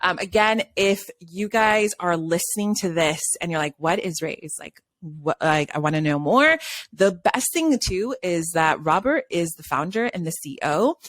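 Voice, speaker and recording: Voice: medium pitch, lively intonation, moderate pace, fairly bright tone, no echo. A podcast host from Brazil with a light Brazilian accent, chatting upbeat and gushing with praise in a casual interview.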